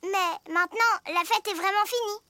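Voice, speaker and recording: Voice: very high voice, moderate pace, bright, forceful tone. An actor voicing a young child in a French children's superhero cartoon, with music behind the voice. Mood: wistful.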